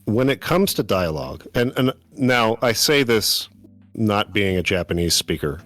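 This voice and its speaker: Deep-voiced thoughtful man chatting. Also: lively intonation, a little slow, fairly bright tone, soft background music. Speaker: podcast guest. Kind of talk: casual live-stream chat.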